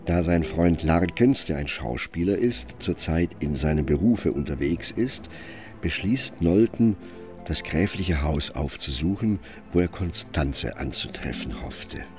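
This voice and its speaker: A man narrating a literary radio drama in a measured, storytelling way. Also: low voice, lively intonation, faint music behind.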